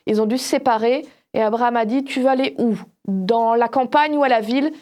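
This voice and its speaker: A woman's voice: on the high side, fairly quick. A woman speaking as a panelist in a casual Bible discussion, explaining things like a storyteller who acts out examples. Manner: animated storytelling, acts out imagined quotes. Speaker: panelist on a religious discussion show.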